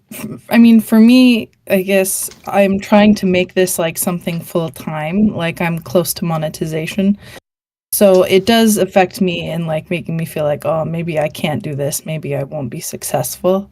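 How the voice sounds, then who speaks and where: A young woman writer on a panel, talking casually and hesitantly, with many fillers and pauses. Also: on the low side, a little mellow, dry recording.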